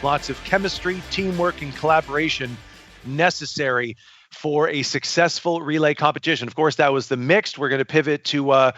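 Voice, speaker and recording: A man's voice: on the high side, normal speed, fairly bright tone, no room sound, soft background music. A man hosting a sports broadcast, upbeat and announcer-like. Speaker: play-by-play host. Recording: dull dry studio audio.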